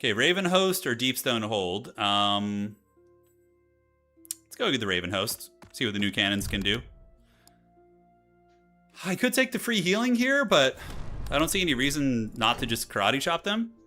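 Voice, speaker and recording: A man's voice: medium pitch, very animated, normal speed, pauses often, sharp tone. A man giving casual, slangy live commentary as he plays a strategy game, thinking aloud. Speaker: streamer playing a strategy game. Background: game music.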